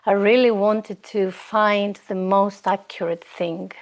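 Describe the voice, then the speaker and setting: A woman from Greece whose first language is Greek, speaking English as a guest in a reflective, measured conversation. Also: medium pitch, fairly even intonation. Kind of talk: casual conversation.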